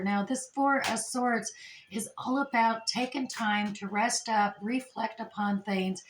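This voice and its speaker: A woman doing a chatty, meandering tarot reading while losing her voice, her throat strained. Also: mid-range voice. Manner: chatty, meandering, with many fillers and restarts. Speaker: tarot reader. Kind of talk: casual tarot reading to camera. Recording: echoey room with faint noise.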